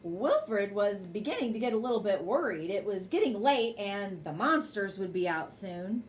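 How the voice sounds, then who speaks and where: A woman reading a children's picture book aloud as a bedtime story, in an expressive, measured way. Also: mid-range voice, a little hiss or hum.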